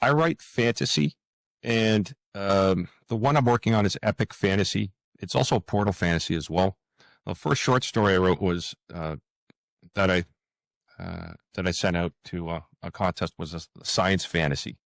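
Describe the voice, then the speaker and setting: A man's voice: sits low, normal speed, creaky, dry recording. A man speaking as a writer being interviewed, thinking aloud earnestly and often repeating words as he goes. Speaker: podcast guest, fantasy writer. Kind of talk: interview conversation, reflective.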